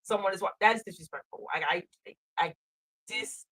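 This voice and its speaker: A woman's voice: mid-range voice, normal speed, fairly bright tone, low-quality recording. A middle-aged woman in casual conversation as a co-host, speaking earnestly and repeating phrases for emphasis. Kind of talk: casual chat.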